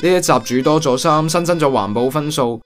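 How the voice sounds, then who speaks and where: A man's voice: fairly high pitch, a little fast, close to an everyday voice. A man playing a sardonic, take-charge young gamer, the older brother, with a Hong Kong accent in a comic audio drama. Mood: dry, sardonic. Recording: music behind the voice.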